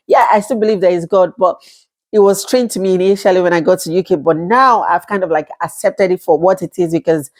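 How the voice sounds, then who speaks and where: A woman's voice: lowish voice, fairly quick, darkish tone. A woman in her thirties from Nigeria with a Nigerian accent, talking casually to camera, chatty, laughing often and acting out little quoted exchanges. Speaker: vlogger.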